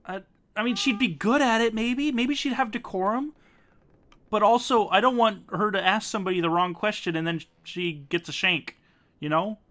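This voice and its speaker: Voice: mid-range voice, moderate pace, a bit bright. A streamer casually narrating and reacting to a story game, exclaiming at choices. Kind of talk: casual let's-play chat and narration.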